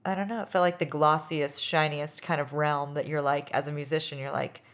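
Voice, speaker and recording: A woman in her thirties with a deep, low-pitched voice chats as a musician guest in an interview. She rambles loosely, leaning on "like" and "you know" as she goes. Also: steady tone, a little fast. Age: 30s. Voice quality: low singing voice. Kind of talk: casual interview chat.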